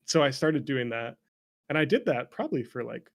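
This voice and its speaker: A man's voice: medium pitch, animated, normal speed, gravelly. A young man telling stories casually as an interview guest, informal and self-deprecating, peppering his talk with "like". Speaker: interview guest, streamer and former speedrunner. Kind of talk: casual conversational storytelling.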